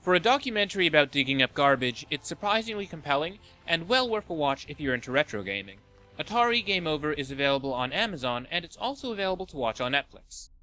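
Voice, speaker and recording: Lively, bright-voiced man narrating a countdown. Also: fairly high pitch, fast, faint music behind, low-quality recording. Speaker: host of a video countdown list. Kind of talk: scripted review narration.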